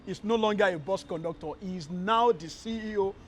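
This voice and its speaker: High-voiced male interview host. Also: brisk, soft background music. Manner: frequent soft backchannel murmurs. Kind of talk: interview hosting.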